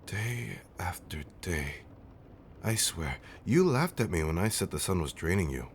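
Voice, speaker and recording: A man playing a centuries-old vampire husband in an intimate comfort roleplay, tender and theatrically fussy, with genteel, old-fashioned wording and dramatic pauses. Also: low voice, lively intonation, slight background noise. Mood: tender concern. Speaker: audio roleplay performer.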